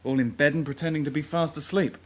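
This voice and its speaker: A gruff British policeman, played by a male actor with a slightly high voice. He is brisk, businesslike and matter-of-fact, in the manner of radio crime drama. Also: steady tone, lightly acted.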